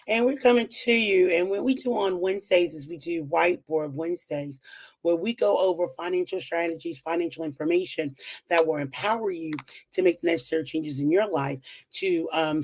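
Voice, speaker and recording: A woman hosting a live whiteboard lesson on finances, her voice rough and strained as it comes back after being lost. She talks in a relaxed, chatty way, pausing on "um"s and nudging viewers along with upbeat encouragement. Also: lowish voice. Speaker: financial educator hosting a weekly livestream. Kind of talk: casual live-stream teaching at a whiteboard.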